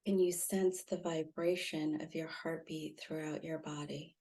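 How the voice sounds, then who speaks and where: Female meditation guide, low and dark-toned, soothing, with spacious pauses. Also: flat delivery, breathy edge. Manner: soft, soothing, gently instructive with long pauses. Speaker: meditation guide, intuitive healer.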